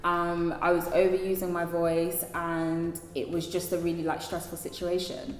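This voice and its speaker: A singer being interviewed, a woman with a deep speaking voice who talks thoughtfully with many fillers and restarts. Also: completely flat delivery, moderate pace, reverberant, in a large hall, low-quality recording. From London. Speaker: singer, guest. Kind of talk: casual interview talk.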